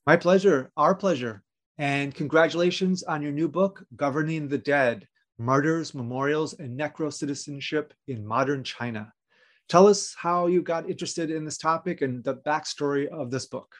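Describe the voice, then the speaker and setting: A man's voice: a little high, moderate pace. A man hosting a podcast, speaking in the style of a prepared interview with introductions and questions.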